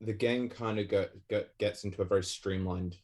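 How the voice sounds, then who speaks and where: A man's voice: lowish voice, steady tone, moderate pace, darkish tone. A man from England, a podcast guest, chatting casually with restarts, laughs and self-deprecating asides.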